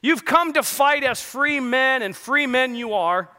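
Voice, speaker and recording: A man voicing a rebel leader rallying his troops, speaking slowly and emphatically in his own voice. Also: very high voice, animated, bright, forceful tone, clear, resonant tone, big-room sound, full character voice. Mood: rousing, stirring. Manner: slow, emphatic speech delivery.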